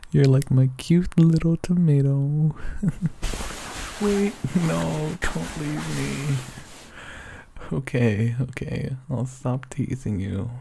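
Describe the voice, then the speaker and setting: A young man with a whispery voice speaks softly and sleepily in a teasing boyfriend roleplay, often breaking into quiet laughs. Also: mid-range voice, vocal fry, husky. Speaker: ASMR roleplay performer. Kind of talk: soft-spoken ASMR boyfriend roleplay.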